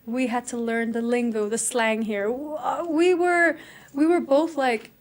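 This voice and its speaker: A woman's voice: a little high, fairly even intonation, measured pace. A young adult woman from South Africa, a guest in a casual interview, talking reflectively and chattily and laughing easily.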